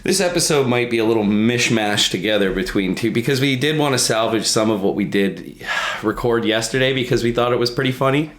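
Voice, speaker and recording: A man's voice: medium pitch, brisk, hardly any pauses. A man co-hosting a comedy podcast in casual, wry, self-mocking chat. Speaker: podcast co-host. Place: an apartment, remote connection.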